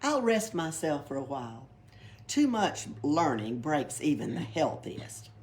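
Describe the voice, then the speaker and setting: A woman's voice: low-pitched, big pitch swings, unhurried, a little mellow. A woman reading a storybook, voicing a boisterous, cheeky young girl who tells tall tales in dramatic exclamations. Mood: carefree. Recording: background noise, library read-aloud.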